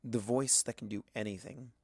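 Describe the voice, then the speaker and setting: A man's voice: medium pitch, steady tone, moderate pace, vocal fry, a little mellow, breathy edge, no room sound, studio quality. A man reading a first-person story aloud as dramatic scripted narration. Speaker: audiobook narrator of fan fiction.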